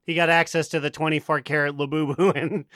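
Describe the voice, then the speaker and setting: Smooth, higher-pitched man bantering casually. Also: flat delivery, a little fast, fairly bright tone, dry recording, studio quality. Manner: bawdy, joking. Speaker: podcast co-host.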